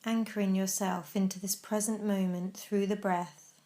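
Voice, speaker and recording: A woman narrating a guided mindfulness meditation, giving gentle, measured instructions with pauses. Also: mid-range voice, level tone.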